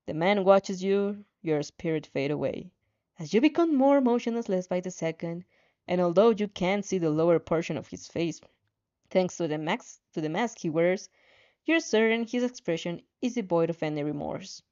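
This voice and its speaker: A woman's voice: fairly low pitch, animated, normal speed, a little mellow. A woman narrating fan fiction as a dramatic story reading, on slightly dull audio.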